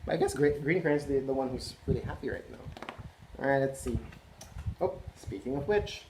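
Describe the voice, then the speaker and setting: A man's voice: medium pitch, normal speed. A man reading a picture book aloud, chatting and asking listeners questions. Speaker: read-aloud host. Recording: echoey room, faint noise.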